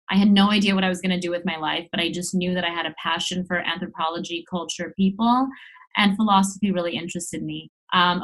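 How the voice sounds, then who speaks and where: A woman's voice: on the low side, low-quality recording. A woman in her mid-thirties from Los Angeles with an American accent, talking candidly and at length as a guest in a casual interview. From Los Angeles, United States. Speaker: interview guest, travel blogger.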